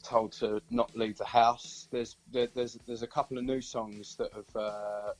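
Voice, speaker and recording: Give the voice of a British man, a musician being interviewed on the radio. He talks informally at a middling pitch, rambling a little and feeling his way through his answers. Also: level tone, a little slow, faint music behind, low-quality recording. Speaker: band singer-songwriter being interviewed. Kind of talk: casual chat on a radio interview.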